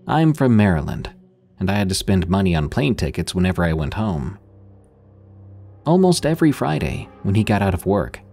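A man with a very low voice narrates true horror stories, taking his time and building suspense. His pitch rises and falls expressively across each line. A faint music bed plays beneath him. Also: warm tone, breathy edge. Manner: slow, deliberate, suspenseful reading.

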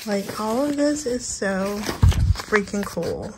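A young woman hosting a casual, soft-spoken flip-through at home, talking gently and relaxed with lots of "like". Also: mid-range voice, slow pace, very noisy. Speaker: channel host. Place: indoors at home, over a bed.

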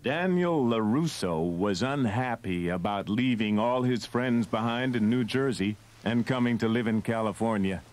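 A man's voice: mid-range voice, normal speed. A man reading scripted narration for a read-along children's story. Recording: narration from an old cassette. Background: loud music.

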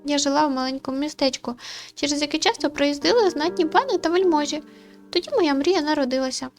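A woman voicing a gentle, sorrowful female forest spirit in a visual novel, with lofty, old-fashioned, tender phrasing. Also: high voice, quick pace, faint music behind, pitched above the performer's own voice. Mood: wistful, sorrowful.

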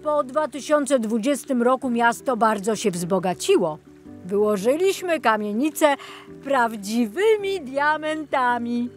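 A woman's voice: fairly high pitch, animated, measured pace, faint music behind. A woman voicing a jolly, absent-minded older male tour guide in a children's storybook, rambling and enthusiastic. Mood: chatty, proud.

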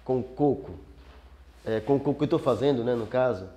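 A man's voice: mid-range voice, mellow, dull audio. A man in his forties introducing himself in a documentary interview, speaking hesitantly, recorded indoors on a studio set with a lapel mic. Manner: slow, hesitant.

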